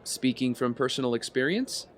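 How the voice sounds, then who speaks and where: A man's voice: mid-range voice, expressive, normal speed, fairly bright tone, natural voice. A man playing an earnest young vigilante heir with a double life, sincere and reflective, in an audio drama. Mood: eager, animated.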